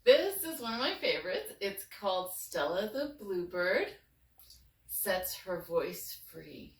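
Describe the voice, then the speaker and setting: A woman speaks slowly and earnestly, with expressive, thoughtful pauses. She sounds like a storyteller opening up. The recording is echoey and a little distant from the mic, with some noise behind her. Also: medium pitch. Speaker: fairy tale writer and storyteller. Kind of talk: heartfelt personal talk, then storybook reading.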